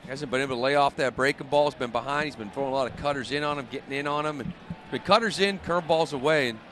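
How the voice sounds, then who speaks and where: A male color commentator breaks down the game in a conversational way, with a mid-pitched voice. Faint stadium ambience sits behind him in the booth. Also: quick pace, fairly bright tone. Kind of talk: conversational live game analysis. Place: broadcast booth at an outdoor ballpark. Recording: faint background noise of ballpark.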